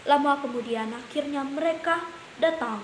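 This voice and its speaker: A woman's voice: sits high, normal speed. A woman narrating an animal fable for children as a storyteller. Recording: echoey room, faint hiss.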